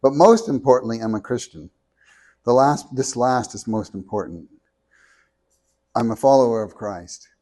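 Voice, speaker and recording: A soft-spoken man reading a prepared talk into a handheld microphone at a meeting, measured and deliberate, with small restarts. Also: medium pitch. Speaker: speaker at a meeting. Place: meeting room with an audience.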